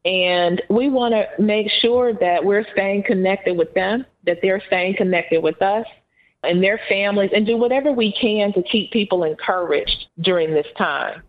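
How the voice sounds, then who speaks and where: A woman with a Southern US accent, co-hosting a podcast in an earnest, encouraging, unhurried manner. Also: mid-range voice. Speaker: podcast co-host. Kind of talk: casual podcast chat. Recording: phone-like narrow band.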